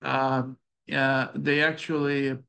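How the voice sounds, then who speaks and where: A middle-aged man with a Ukrainian accent giving a startup presentation, halting and full of fillers and restarts. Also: medium pitch, level tone, creaky, bright, forceful tone, clear, resonant tone. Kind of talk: conference presentation with audience questions.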